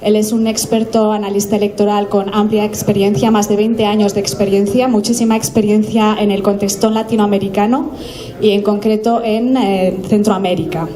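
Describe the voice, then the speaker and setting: A woman serves as press officer, opening a press conference and introducing the speakers in turn. Her voice is mellow and mid-pitched, and her delivery is measured and formal with small hesitations. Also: level tone.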